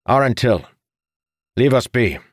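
A man voicing a weary, authoritative father of grown sons in an audiobook, stern and measured but placating. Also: deep voice, expressive, no room sound, studio quality, pitched above the performer's own voice. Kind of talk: audiobook character voice.

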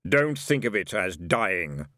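A grave, skeletal reaper speaks in slow, measured words with a deep, distant, hollow tone. The voice is dry and close, with little room around it, though a man is acting it out. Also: very animated, pushed and bright, no echo, heavily acted. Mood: solemn.

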